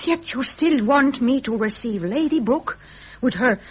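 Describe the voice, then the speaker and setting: An actress playing a dignified, hard-of-hearing princess, formal and plaintive in a radio drama. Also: female voice, fairly high pitch, lively intonation, unhurried. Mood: reproachful. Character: dignified, hard-of-hearing princess, wounded, quietly reproachful wife. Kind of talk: 1970s British radio drama acting. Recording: noisy vintage radio recording.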